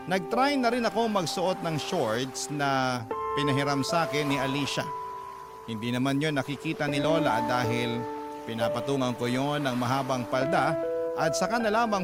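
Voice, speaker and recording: A man giving scripted first-person dramatic narration as a radio storyteller. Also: medium pitch. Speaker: storytelling radio host reading a letter. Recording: loud music bed under voice.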